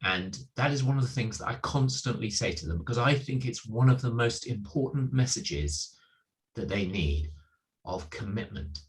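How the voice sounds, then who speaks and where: Middle-aged man, sermon delivery, earnest, emphatic repetition, lively swings in pitch. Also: moderate pace, rough recording. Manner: earnest, emphatic, repeats key points for weight. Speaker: preacher at a church. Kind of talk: sermon, reflecting on a Bible passage.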